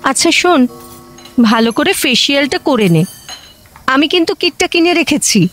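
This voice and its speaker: A woman's voice: sits high, expressive, moderate pace, fairly bright tone, faint music behind. A woman playing a fussing, insistent middle-aged mother in an audio drama. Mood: caring, insistent.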